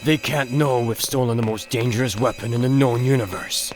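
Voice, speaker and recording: A man's voice: medium pitch, fairly even intonation, fairly quick, close to an everyday voice. An actor playing a brash madman space hero in a comic radio play, with urgent, declamatory delivery. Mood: urgent, bombastic. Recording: clear music behind the voice.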